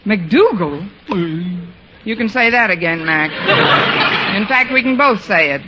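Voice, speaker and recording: An actress playing a sarcastic, lovelorn schoolteacher in an old radio comedy, with dry wisecracking delivery. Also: female voice, medium pitch, lively intonation, measured pace, lightly acted. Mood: exasperated, wry. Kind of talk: 1940s-50s radio comedy acting. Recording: muffled, noisy old radio recording.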